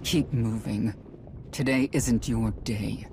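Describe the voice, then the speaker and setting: Slow, vengeful accuser. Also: male voice, mid-range voice, fairly even intonation. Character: vengeful accuser, stern and righteous. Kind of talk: dramatic video game acting. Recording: clear noise behind the voice.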